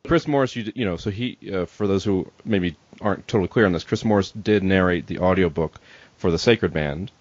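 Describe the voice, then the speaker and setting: A man's voice: sits low, fairly quick, dry recording, highs cut off. A man interviewing in a casual, informal chat, speaking somewhat hesitantly.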